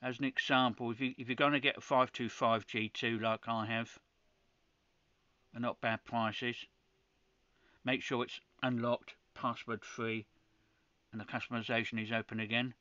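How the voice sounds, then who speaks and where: Bright, clear-voiced man explaining setup. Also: medium pitch, little pitch movement, normal speed, no room sound, lo-fi sound. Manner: practical, step-by-step, with frequent restarts. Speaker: ham radio channel host. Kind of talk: screen-recorded tutorial.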